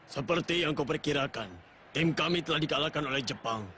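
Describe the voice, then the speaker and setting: A man voicing a composed rival soccer figure in a cartoon, speaking in a brisk, pointed way. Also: a little high, pushed and bright, a little hiss or hum. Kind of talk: dubbed cartoon acting.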